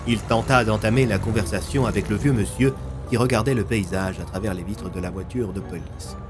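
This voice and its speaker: Guy narrating an audio story, kinda low voice, soft music under it. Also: brisk. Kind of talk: scripted audio fiction narration.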